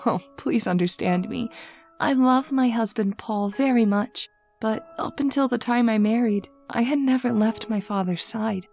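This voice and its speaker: A woman's voice: on the high side, moderate pace, creaky, a little mellow, faint music behind. A woman playing an anxious, devoted young wife and daughter in an old-time radio horror drama, earnest and melodramatic. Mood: tender, reflective. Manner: earnest, melodramatic, formal phrasing. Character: anxious, devoted young married daughter narrating her haunting.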